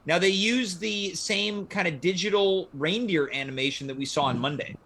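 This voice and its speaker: A man's voice: high voice, moderate pace, bright, forceful tone. A man hosting a casual livestream chat show, steering the conversation. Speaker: show host.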